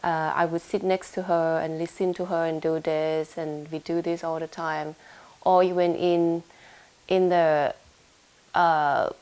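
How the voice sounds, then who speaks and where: A woman's voice: sits low, darkish tone, poor audio. A young woman from Thailand with a Thai accent, answering interview questions with frequent fillers and restarts. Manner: hesitant, many fillers and restarts. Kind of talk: casual oral history interview. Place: home room in London.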